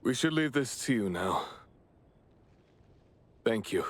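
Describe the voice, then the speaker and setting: Anxious game father, unhurried, halting, polite, worried, dry sound. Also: mid-range voice, animated, slightly rough, no room sound. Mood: hesitant gratitude. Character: anxious, hesitant father of a missing boy. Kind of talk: video game voice acting.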